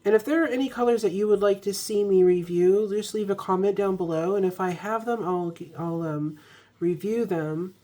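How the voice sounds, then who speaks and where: A woman's voice: medium pitch, normal speed, warm tone. A young woman recovering from a cold, chatting casually with ums and restarts as she reviews an ink. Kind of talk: casual product review vlog.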